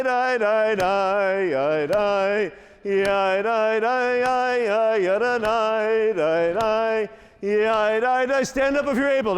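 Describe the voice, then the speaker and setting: A man solemnly leading a congregation in communal song and blessing, exhorting those gathered. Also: high-pitched. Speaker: song leader and speaker giving a blessing. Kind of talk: communal sing-along and spoken blessing. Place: large conference hall, on stage. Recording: long hall reverb.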